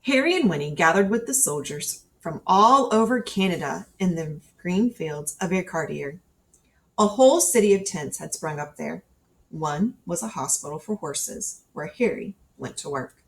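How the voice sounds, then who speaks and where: A woman with a slightly low voice reads a children's story aloud. Her delivery is scripted storybook narration, expressive and ready to act out the dialogue. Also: normal speed. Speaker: storybook reader.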